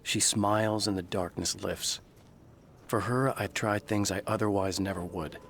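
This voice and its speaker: A man's voice: on the low side, steady tone, normal speed, fairly bright tone, a little hiss or hum. An actor voicing a weary male writer, narrating in a brooding, introspective way. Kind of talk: scripted reflective narration.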